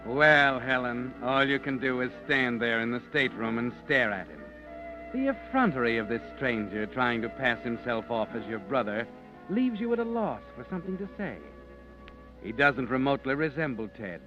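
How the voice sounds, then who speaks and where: A man's voice: mid-range voice, very animated, normal speed. A man narrating in the second person, insinuating and sardonic, in a 1940s radio drama. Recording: dull vintage radio recording with music.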